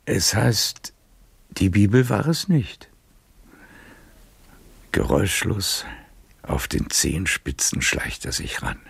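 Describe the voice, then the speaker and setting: Radio drama: weary, worldly old charmer, husky and gravelly, very slow, melancholy and tender. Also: male voice, low-pitched, very animated, no room sound, exaggerated voice. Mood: hushed, tender. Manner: slow, halting, sighing delivery with dramatic swells. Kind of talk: literary radio play acting.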